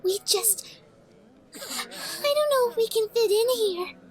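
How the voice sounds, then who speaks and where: A woman playing a timid, gentle young pony filly, a devoted daughter, in an audio drama. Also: extremely high pitch, lively intonation, slow, heavily acted. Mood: worried. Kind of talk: audio drama acting and narration. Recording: music under the voice.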